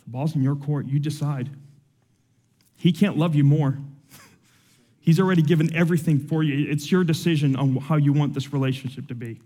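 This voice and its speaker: A man's voice: on the high side, normal speed, warm tone, big-room sound. A young man born in Cuba, with no accent, preaching a sermon full of personal testimony in an earnest, emotional and conversational way.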